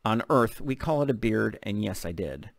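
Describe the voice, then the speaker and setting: A man's voice: on the low side, animated, moderate pace, creaky, no echo, clean studio sound. A man reading the part of a sarcastic, easily annoyed seventeen-year-old boy in a novel. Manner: snotty, exasperated.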